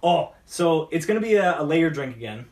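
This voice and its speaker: A man's voice: a little high, sing-song, fairly quick, faint music behind. A chatty, enthusiastic man hosting a casual talk show, bantering as he mixes drinks. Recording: roomy, distant mic with noise and clicks.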